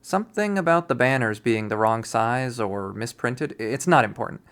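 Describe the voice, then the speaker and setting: A man reading a visual novel aloud as its first-person narrator, in an expressive storytelling style. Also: mid-range voice, moderate pace, clear, resonant tone.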